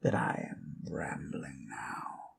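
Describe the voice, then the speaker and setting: Man voicing a deep, gravelly, slow-spoken undead lich. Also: in a whisper, heavily acted. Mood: wry, musing. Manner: unhurried, musing, formally polite with long pauses. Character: courteous, rambling undead lich healer and storyteller, male. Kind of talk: slow ASMR fantasy roleplay, storytelling.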